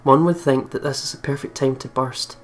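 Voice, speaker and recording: Medium-pitched gamer reading a story aloud, a man. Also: fairly even intonation, fairly quick. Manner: giggly, stumbles while reading. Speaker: let's play host. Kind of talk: reading a visual novel aloud with casual commentary. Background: faint game music.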